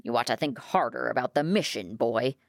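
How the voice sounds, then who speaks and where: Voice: mid-range voice, a little fast, vocal fry, no room sound, studio quality, lightly acted. Woman doing a stern, scornful military man. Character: stern, contemptuous male military superior.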